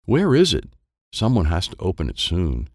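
Man playing an excitable little boy storyteller. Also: low-pitched, moderate pace, dry recording, studio quality, heavily acted. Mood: eager, wistful. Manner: simple, wide-eyed, childlike phrasing. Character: excitable, wistful small boy narrator. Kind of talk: first-person present-tense children's storybook narration.

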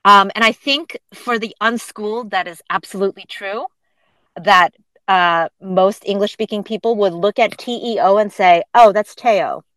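A woman's voice: medium pitch, moderate pace, fairly bright tone, no room sound, rough recording. A woman co-hosting a podcast, chatting casually and explaining pronunciation with frequent fillers and laughs. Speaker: podcast co-host.

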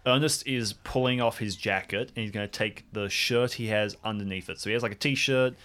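A man casually improvising narration of his character's actions in a tabletop game, in halting phrases. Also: on the low side, a bit bright. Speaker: tabletop role-play player.

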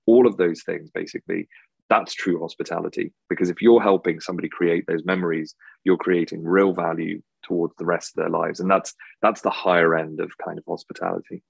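Low gravelly man rambling in an English accent. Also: flat delivery, brisk, no room sound. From Czech Republic. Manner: rambling, heavy filler words like "basically" and "you know". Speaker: hospitality tech founder, guest. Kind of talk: podcast interview answers.

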